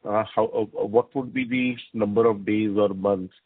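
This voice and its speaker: Deeper voiced analyst guy on a call, dry phone audio, asking a question. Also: steady tone, moderate pace, breathy edge, no echo. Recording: dry narrow phone line.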